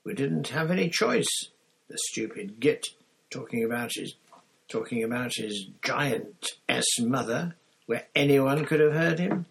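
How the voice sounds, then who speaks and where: A man's voice: mid-range voice, animated, normal speed, breathy edge, low-quality recording. A man voicing a blunt, indignant teenage schoolboy sidekick, defensive and blurting.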